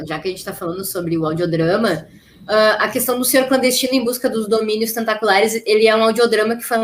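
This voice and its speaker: A woman's voice: fairly high pitch, a bit bright. A woman hosting a casual interview, putting informal, loosely built questions to guests. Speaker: podcast host interviewing guests.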